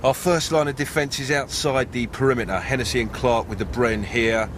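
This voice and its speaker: A jaunty, practical British lieutenant played by a man in a wartime radio play. He talks in colloquial soldier's slang at a medium pitch. Loud outdoor noise surrounds him. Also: steady tone, brisk, natural voice. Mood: briskly reporting. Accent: British English. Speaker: actor in a radio drama. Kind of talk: BBC radio drama acting.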